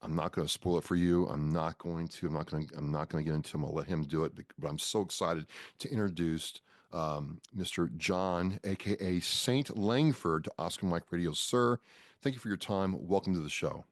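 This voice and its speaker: A man's voice: deep voice, fairly quick, dry recording, clean studio sound. An American man hosting a podcast interview, talking in an enthusiastic, informal way and readily jumping in. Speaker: podcast host.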